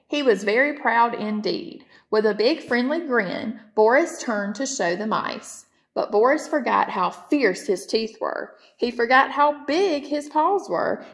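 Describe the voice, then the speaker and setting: A woman's voice: on the high side, a bit bright. A woman reading a children's picture book aloud as a storytime narrator. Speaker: storytime reader.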